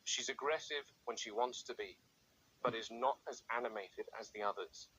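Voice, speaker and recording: A man playing a grim, watchful game warden, narrating a found-footage field log in a measured, grave and deliberate way. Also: medium pitch, a bit bright, natural voice, poor audio. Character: grim, watchful male game warden logging dangerous captive raptors. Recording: faint background noise.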